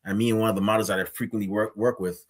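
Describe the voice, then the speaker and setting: A man's voice: fairly low pitch, rapid-fire. A chatty male interviewer. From Guyana. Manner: rambling, animated storytelling with acted quotes. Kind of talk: casual interview chat.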